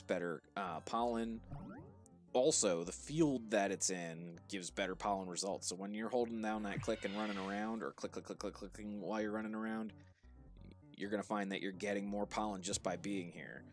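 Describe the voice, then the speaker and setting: Low-voiced man with a Jersey-Pittsburgh accent. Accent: New Jersey and Pittsburgh blend. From New Jersey. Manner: relaxed, instructive, with frequent restarts and fillers. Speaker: streamer guiding viewers. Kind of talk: casual live game commentary.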